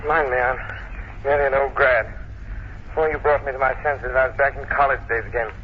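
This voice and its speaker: A man plays a thoughtful, suave gentleman sleuth in old radio style. He talks quickly at a medium pitch, composed, on a dull recording. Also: steady tone, a little hiss or hum, lightly acted. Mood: musing. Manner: urbane, composed. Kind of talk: 1940s radio acting.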